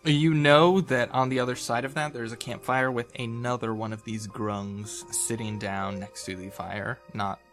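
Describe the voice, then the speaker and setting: A man's voice: mid-range voice, fairly even intonation, normal speed, hardly any pauses, fairly bright tone. A man casually narrating an improvised tabletop game as game master, playful and conversational. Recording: music bed behind the voice.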